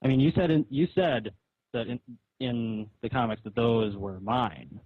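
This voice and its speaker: A man with a rough, husky voice of average pitch argues over the phone. He is insistent and questioning. The line is thin and narrow, with a very dry sound. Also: lively intonation, measured pace, no echo. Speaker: comic artist on a phone call. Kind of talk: argumentative phone conversation. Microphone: phone call.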